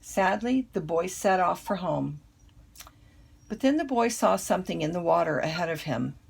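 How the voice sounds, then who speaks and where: Grandmother storyteller: older female, lowish and husky, children's read-aloud narration. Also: lively intonation, normal speed. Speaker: grandmother reading a picture book.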